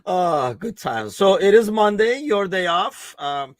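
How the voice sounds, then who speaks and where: A man's voice: sits high, expressive, slow pace, no room sound. A man hosting a live football podcast, talking casually with lots of fillers and frequent laughs. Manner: rambling, many fillers, laughs often. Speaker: podcast host.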